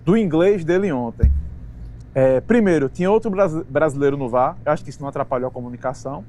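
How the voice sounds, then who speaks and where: A Brazilian man on a sports talk panel, chatting casually with slangy words and halting restarts. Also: fairly high pitch, warm tone.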